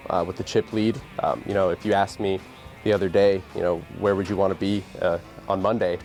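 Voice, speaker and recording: A man being interviewed as a poker player, speaking casually and haltingly. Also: sits low, level tone, a little mellow, soft background music.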